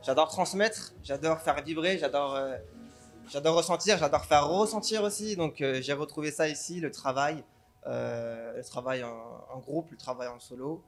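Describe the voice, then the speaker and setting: A man's voice: a little high, normal speed, faint music behind. A man from the Paris suburbs, a dance teacher, answering interview questions enthusiastically. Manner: enthusiastic, repeated phrases.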